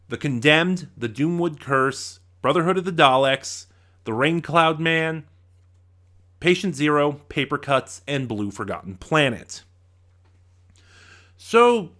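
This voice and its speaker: A man's voice: medium pitch, sharp tone. A man giving review commentary in an informal, explanatory way, correcting himself as he goes. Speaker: reviewer, channel host. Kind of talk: semi-scripted audio drama review commentary.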